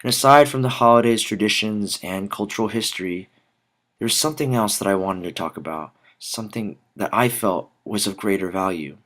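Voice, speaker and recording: A young American man delivering a rehearsed personal story in measured, deliberate phrases with dramatic pauses. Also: on the low side, fairly bright tone. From Dallas, Texas, United States. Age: young adult. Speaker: student art teacher telling a personal story. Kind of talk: rehearsed spoken personal narrative.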